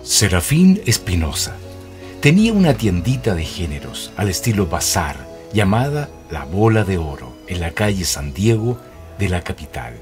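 A radio drama narrator with a very deep voice. His scripted storytelling moves expressively up and down over faint music. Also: big pitch swings, normal speed, darkish tone. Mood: descriptive. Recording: music behind the voice.

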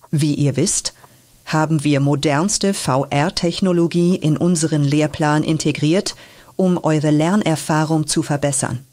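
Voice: mid-range voice, a little mellow, close to an everyday voice. A performer voicing a school principal making a formal announcement to students in a children's audio drama.

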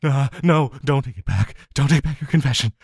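A man playing an obsessive, lovesick supervillain in an intimate audio roleplay, halting and crooning, by turns sinister and pleading. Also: mid-range voice, vocal fry, darkish tone, breathy edge, no echo, studio quality. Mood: panicked, pleading. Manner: halting, crooning, breaking into laughter.

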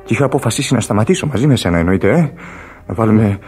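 An actor playing a weary, feverish dying sailor in a Greek radio drama, halting and drifting toward whispers, full of regret. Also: male voice, fairly low pitch, animated, darkish tone, slightly rough. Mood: regretful longing. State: weak, in pain. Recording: radio play with music underneath. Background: music.

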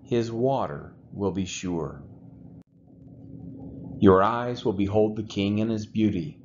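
A man reading scripture aloud in a measured, unhurried way, as the regular reader of a daily series. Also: on the low side, lo-fi sound, slight background noise. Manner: measured, expressive rises and falls.

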